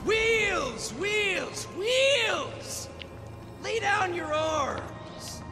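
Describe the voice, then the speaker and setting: An actor playing a manic male war boss in a game, shouting unhinged taunts from a distance. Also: extremely high pitch, very animated, extremely slow, over music, full character voice. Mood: taunting.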